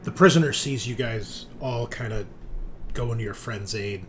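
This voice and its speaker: A man's voice: mid-range voice, moderate pace, a bit bright. A man narrating a tabletop roleplaying game as game master, informal and improvised, sometimes projecting and sometimes muttering. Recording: faint room noise.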